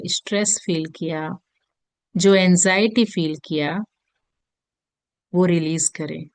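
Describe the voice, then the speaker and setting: A woman with an Indian accent leads a guided meditation in a gentle, soothing manner with long pauses. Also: fairly low pitch, clear, resonant tone, dry recording. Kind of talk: guided meditation in Hindi mixed with English.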